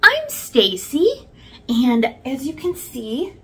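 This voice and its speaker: A woman's voice: sits high, wildly swooping pitch, takes it slowly, slightly rough. A woman host addressing young children in a chirpy, encouraging channel introduction. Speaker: children's story time host.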